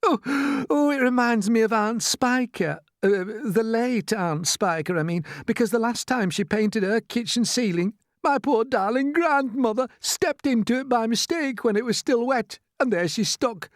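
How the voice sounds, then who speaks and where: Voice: medium pitch, unhurried, few pauses, no room sound, clean studio sound, voice pushed up, lightly acted. A storybook reader voicing a fretful, chatty female spider with a rambling, emphatic, dramatic way of talking. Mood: fretful, aggrieved.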